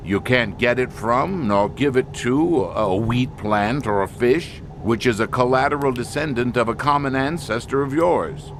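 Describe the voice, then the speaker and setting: An actor playing an insistent, argumentative biologist in an audio drama, lecturing precisely and emphatically. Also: male voice, medium pitch, noisy background. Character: insistent, argumentative male expedition biologist defending his specimen.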